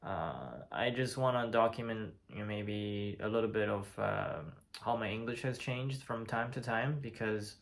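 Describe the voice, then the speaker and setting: A man in his twenties from China, whose first language is Chinese, talks to his vlog audience in a somewhat low, gravelly voice. He speaks in halting bursts, full of fillers and restarted sentences, in a plain casual tone. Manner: hesitant, many fillers and restarts. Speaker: vlogger. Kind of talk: casual talk to camera. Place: lives in Italy.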